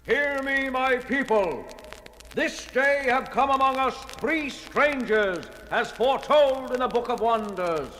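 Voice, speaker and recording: An actor playing a proud, fervent leader of a primitive mountain people, declaiming like a preacher in a 1970s record drama. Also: male voice, very high voice, slow, sharp tone, a little hiss or hum, heavily acted. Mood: solemn, exalted. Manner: declamatory, solemn, preacher-like oratory. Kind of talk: 1970s children's record audio drama acting. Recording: echoey cavernous hall reverb, music behind.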